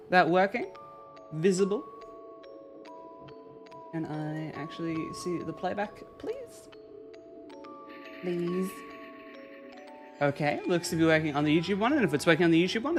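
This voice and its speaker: A game streamer talking casually through a live stream, chatting with viewers and reading chat aloud in a soft, soothing way. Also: medium pitch, sing-song, unhurried, long pauses, faint music behind. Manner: chatty, reads and answers chat.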